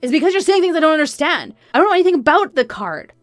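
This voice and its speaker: A woman's voice: sits high, very animated, fast, no room sound, faint music behind, voice pushed up. Woman acting a flustered, evasive cheating husband. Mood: flustered pleading. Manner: stammering, pleading.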